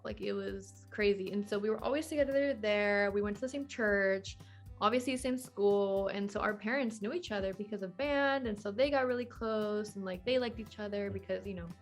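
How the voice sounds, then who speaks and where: A woman's voice: medium pitch, fairly bright tone. A woman in her twenties talking casually as a podcast guest, in a chatty, informal way peppered with "like". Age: 20s. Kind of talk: casual conversational interview.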